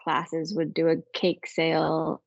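Gravelly-voiced English woman, dry and muffled. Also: sits low, monotone, takes it slowly, no echo. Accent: English, Manchester. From England. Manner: storytelling, laughs easily. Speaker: guest. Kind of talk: interview conversation.

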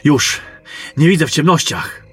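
A man playing a general's cheeky, eager, hungry sidekick in a fantasy audio drama, with a raspy voice. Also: fairly high pitch, very animated, measured pace, over loud music. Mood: anxious, eager.

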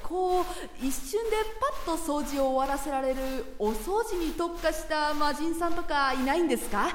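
A woman's voice: sits high, normal speed, roomy sound, a little hiss or hum, natural voice. A woman playing a cheeky, chatty young man who whines and teases politely in a Japanese radio drama. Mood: pleading, cheeky. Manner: polite but teasing, animated grumbling.

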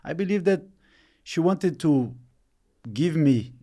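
Brazilian-born man, halting interview answers. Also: on the high side, animated, mellow. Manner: halting, searching for words, many fillers. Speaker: retiring football player, guest.

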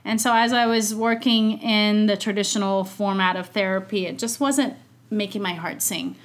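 A woman's voice: mid-range voice, fairly even intonation, normal speed. A woman in her thirties, a coach born in Iran, chatting casually and upbeat as a podcast guest. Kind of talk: casual interview chat.

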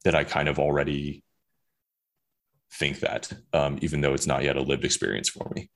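A man's voice: a bass voice, fairly even intonation, normal speed, vocal fry, fairly bright tone. A young man talking casually as a podcast guest, rambling with many fillers and restarts. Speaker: guest author.

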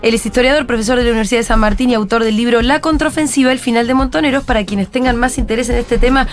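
A woman's voice: fairly high pitch, very fast, soft background music. Argentine woman hosting a radio chat. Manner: conversational, reads listener messages aloud. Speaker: radio host.

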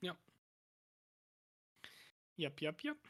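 A man co-hosting a podcast, recapping a TV show in casual chat, his voice raspy and hoarse from talking, rambling with lots of fillers and restarts. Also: on the high side, very animated, very slow, sharp tone. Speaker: podcast co-host.

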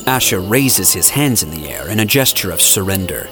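A man's voice: sits low, big pitch swings, moderate pace, over music. A man narrating an audio drama in a scripted, dramatic storytelling style.

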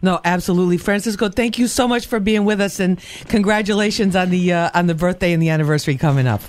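A woman's voice: lowish voice, fast talker, darkish tone. A woman hosting a radio show, putting questions to guests in a conversational interviewing style.

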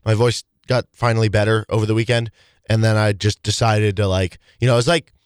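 Man, hoarse, rambling sports talk, dry close sound. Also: lowish voice, steady tone, fairly quick, no echo. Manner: rambling, many restarts and fillers. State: hoarse, voice partly lost. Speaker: sports talk show co-host. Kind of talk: casual sports chat.

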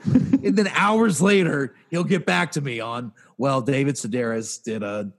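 A young man co-hosting a casual podcast, ranting in an exasperated, animated way with lots of restarts. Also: mid-range voice, measured pace. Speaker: podcast co-host. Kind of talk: casual chat.

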